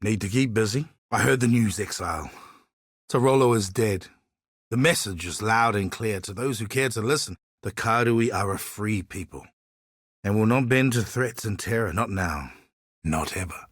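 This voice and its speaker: A man's voice: on the low side, normal speed, husky, no room sound, clean studio sound. An actor voicing a proud, earnest and wry islander man who seeks justice for his enslaved people, in scripted video game dialogue. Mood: businesslike, then proud, resolute.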